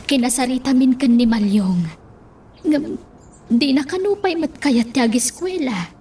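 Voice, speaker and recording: High-voiced nagging mother figure. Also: expressive, measured pace, warm tone, a little hiss or hum. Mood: worried, insistent. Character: worried, nagging older mother figure pressing a young woman. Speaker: radio drama actress. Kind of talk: Ilocano radio drama acting.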